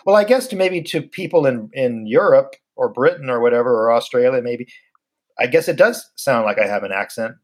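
Middle-aged guy w a slight southern american accent, normal pitch, laid back chatty cohost on a video call. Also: expressive, brisk. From United States. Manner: easygoing, laughs often, repeats words when starting. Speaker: podcast co-host. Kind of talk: casual chat.

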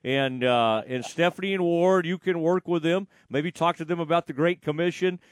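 A man's voice: on the high side, moderate pace, no echo, clean studio sound. A man hosting a radio talk show, chatty and asking loose, rambling questions. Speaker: radio host. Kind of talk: casual radio chat.

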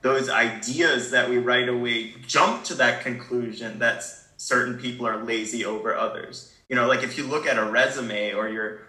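A man's voice: medium pitch, bright, forceful tone, roomy sound, low-quality recording. A teenage boy in a casual group discussion, speaking earnestly and repeating words as he thinks aloud. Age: teens. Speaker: discussion participant.

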